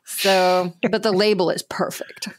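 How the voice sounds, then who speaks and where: A woman's voice: on the low side, little pitch movement, a little slow, no echo. A woman hosting a casual gaming podcast, who throws in dry quips between questions. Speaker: podcast host. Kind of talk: casual chat.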